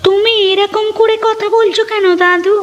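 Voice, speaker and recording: A woman's voice: very high-pitched, steady tone, moderate pace. A woman voicing a meek, hurt young granddaughter in a cartoon story, plaintive and earnest, in dull sound with music. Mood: hurt, pleading.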